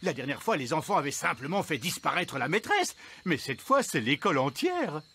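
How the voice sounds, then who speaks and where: A man's voice: on the high side, big pitch swings, fairly quick, very noisy. A man playing a pompous, easygoing fairy king and father in a cartoon, genteel and theatrical. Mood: puzzled. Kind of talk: animated cartoon voice acting, French dub. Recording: music under the voice.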